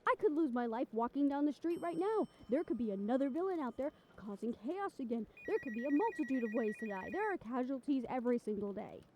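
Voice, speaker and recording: A woman's voice: sits high, expressive, a little fast, few pauses, mellow, a little hiss or hum. A woman playing a brash, stubborn, ambitious young reporter in an improvised audio roleplay, with a sarcastic, cocky, conversational delivery. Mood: defiant, pleading.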